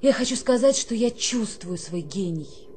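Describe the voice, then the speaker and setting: A woman's voice: on the high side, lively intonation, normal speed, a little mellow, a little hiss or hum, natural voice. An actress playing an affected young soldier who poses as a refined girl, coquettish and haughtily polite, in a theatrical radio play. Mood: grand, self-dramatizing.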